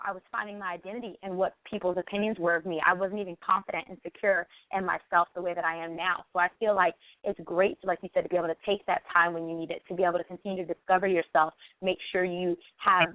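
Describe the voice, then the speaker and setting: A woman's voice: lowish voice, dry recording. A woman in her late twenties hosting a conversational interview, speaking in an affirming way with frequent "you know" asides. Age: late 20s. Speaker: talk show host. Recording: tinny phone-like radio sound.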